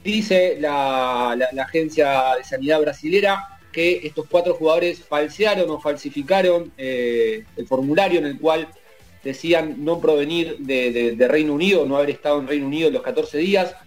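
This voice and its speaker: Argentine man, host, higher-pitched, soft music behind, narrates with stammers. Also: moderate pace. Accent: Argentine Spanish. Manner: narrating events, stammers at times. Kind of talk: casual sports talk.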